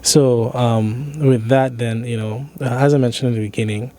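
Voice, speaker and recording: Mellow-voiced man hosting a casual interview. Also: mid-range voice, steady tone, normal speed. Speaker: interviewer, host.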